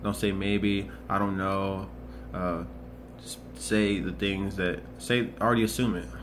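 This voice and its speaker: Low flat-voiced young man vlogging. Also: measured pace, noisy background. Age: 20s. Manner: earnest, rambling, many restarts and repetitions. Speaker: motivational vlogger. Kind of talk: casual motivational monologue.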